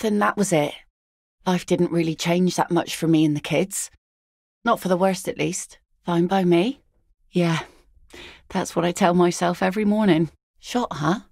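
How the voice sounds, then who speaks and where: A woman's voice: fairly low pitch, gravelly, a little mellow, no echo. A woman voicing a weary, middle-aged widowed fisherwoman from a video game, blunt and working-class, with a dry, sardonic, matter-of-fact way of talking. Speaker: game character.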